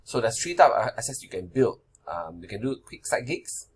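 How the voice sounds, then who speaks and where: Man in his forties, husky voice, talks through slides in a loose, repetitive way, keeps asking "right?". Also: lowish voice, very animated, moderate pace. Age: 40s. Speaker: finance presenter. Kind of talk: casual slide-based money lesson.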